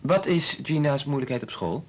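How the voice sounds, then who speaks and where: An actor playing a modest, loyal and cautious research chemist in a Dutch radio play, in a mild, hesitant, measured manner. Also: male voice, mid-range voice, lively intonation, natural voice. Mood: dry, self-deprecating.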